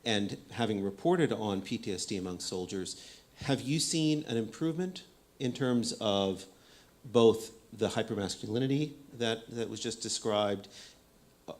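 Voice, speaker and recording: A man moderating a panel, asking a long question in a deliberate way, searching for his words. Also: lowish voice.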